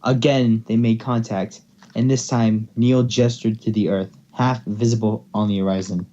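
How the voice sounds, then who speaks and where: A man's voice: lowish voice, little pitch movement, normal speed, warm tone, highs cut off. A man narrating amateur fan fiction, now and then stumbling over words as he reads. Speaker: story narrator.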